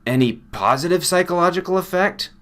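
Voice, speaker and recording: A man puts on an impatient research partner for a storybook reading. The delivery is brusque and insistent, with forceful, snapping phrases. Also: high-pitched, expressive, fairly quick, voice pushed up.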